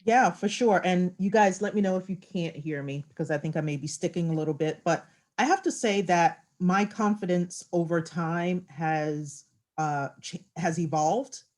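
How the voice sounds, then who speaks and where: A woman with a somewhat low voice answers a panel question in a chatty, casual way. She fills her sentences with little asides and fillers. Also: moderate pace, a little mellow.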